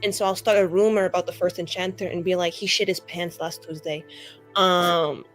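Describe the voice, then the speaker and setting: A woman's voice: fairly low pitch, fairly even intonation, moderate pace, soft background music. Chatty young-sounding woman improvising at game table. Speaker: tabletop roleplaying player. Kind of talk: casual improvised in-character roleplay.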